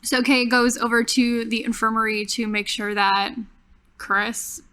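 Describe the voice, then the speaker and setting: A woman's voice: on the high side, steady tone, a little slow, fairly bright tone. A woman casually narrating her character's actions as a player in a tabletop roleplaying game.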